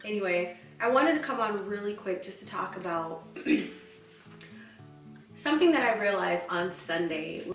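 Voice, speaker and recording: Woman vlogger with a stutter, unhurried and reflective, roomy sound from a distance. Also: medium pitch, expressive, soft background music. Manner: earnest, reflective, many fillers and restarts. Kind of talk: casual personal chat to camera.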